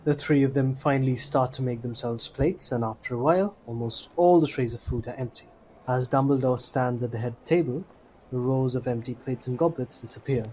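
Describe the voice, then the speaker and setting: A man's voice: medium pitch, fairly quick, warm tone, a little hiss or hum, low-quality recording. A man reading scripted story narration for an audio drama.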